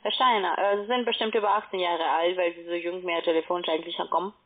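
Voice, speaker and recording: A woman telemarketer giving an insistent, formal sales pitch by phone, with halting phrasing. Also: fairly even intonation. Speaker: call centre telemarketer. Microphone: telephone call. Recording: narrow, tinny phone line.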